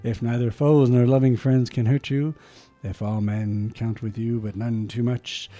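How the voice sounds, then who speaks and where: A man hosting a community radio show, reading poems aloud with theatrical swells and slipping into quiet, murmured asides between them. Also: mid-range voice. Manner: theatrical, swells loud on key lines, then drops to quiet murmured asides. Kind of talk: dramatic poetry reading with chatty asides. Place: small community radio studio. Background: soft music underneath.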